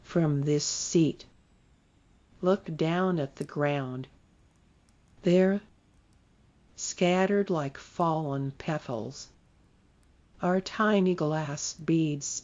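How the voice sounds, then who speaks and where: A meditation guide leading a guided sleep meditation in a soft, soothing way. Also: medium pitch, dull audio. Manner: slow, soft, soothing delivery.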